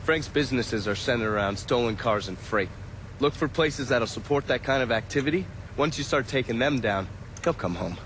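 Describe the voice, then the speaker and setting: An actor playing a world-weary, cynical intelligence agent, sardonic and profane, in a video game cutscene. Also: male voice, mid-range voice, lively intonation, moderate pace, background noise, natural voice. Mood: briefing, sardonic.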